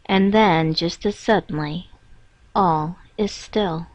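A woman reading a scripted guided meditation in a measured, instructing manner with pauses between phrases. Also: lowish voice. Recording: dull, roomy echoing lo-fi recording.

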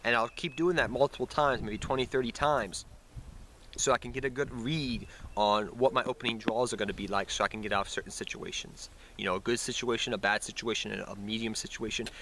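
A man's voice: lowish voice, bright, forceful tone, a little hiss or hum. A young man with a cold that makes him sound nasal, chatting casually to camera outdoors in the snow about card game strategy, rambling and correcting himself. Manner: rambling, self-correcting, addresses viewers as guys. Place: outdoors in snowy woods. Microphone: built-in camera mic at close range. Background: passing cars and planes.

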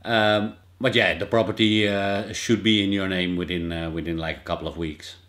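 A man's voice: sits low, steady tone, normal speed, a bit bright. A man in his thirties with a Dutch accent, explaining steps in a casual vlog with many fillers and restarts, recorded indoors in a small office by a desk camera. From Netherlands. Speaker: vlogger.

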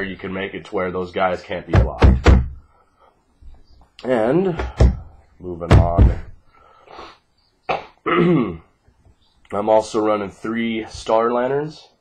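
A man's voice: on the low side, lively intonation, slow, pauses often. A man in his twenties with a groggy voice, giving a casual, sweary card deck profile in a laid-back way. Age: 20s. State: groggy, congested from fighting off a cold. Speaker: card game player presenting a deck. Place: small living room at home, indoors. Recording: noisy home webcam recording with clicks.

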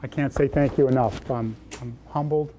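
A man's voice: mid-range voice, steady tone, warm tone, slight background noise. Earnest man in his fifties. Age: 50s. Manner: earnest, halting with fillers. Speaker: interviewee, heart attack survivor. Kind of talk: casual interview answers. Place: hospital cath lab indoors.

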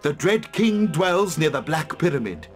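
A man's voice: fairly high pitch, very animated, unhurried, faint music behind. An actor playing an ominous, dramatic seer in a fantasy game, a man speaking with portentous emphasis. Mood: grave warning. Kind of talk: fantasy video game cutscene acting.

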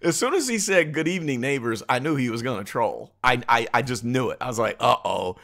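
Male American commentary host, middle-aged, slangy, very lively intonation. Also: mid-range voice, fairly quick, vocal fry. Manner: animated, slangy, amused. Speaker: political commentary video host. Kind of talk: casual reaction commentary.

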